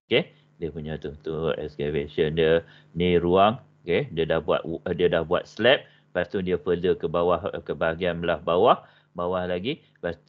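An older man with a Malaysian Malay accent giving an online lecture, peppering his talk with "okey" and English technical terms. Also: very deep voice. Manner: frequent "okey" fillers, mixes in English terms. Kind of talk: online lecture on basement construction. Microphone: webcam on a video call. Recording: dull video-call audio.

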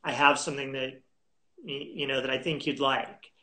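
A man in his thirties talking as a guest in a video call interview, unhurried and halting with many fillers and restarts. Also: mid-range voice, steady tone, sharp tone. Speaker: auction house specialist, guest. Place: home room indoors. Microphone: video call webcam.

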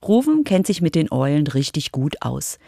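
A woman's voice: deep voice, very animated, moderate pace, gravelly, warm tone, no room sound, studio quality. A woman reporter reading scripted narration for a children's radio feature.

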